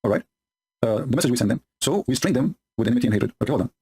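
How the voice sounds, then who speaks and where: A man whose first language is Arabic rattles through scripture at breakneck, double-speed pace, mocking as he goes. His voice is slightly husky, and his English is broken but forceful. The delivery is sarcastic and combative throughout. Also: mid-range voice, lively intonation, racing. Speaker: religious polemic commentator. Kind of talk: commentary reading and mocking scripture.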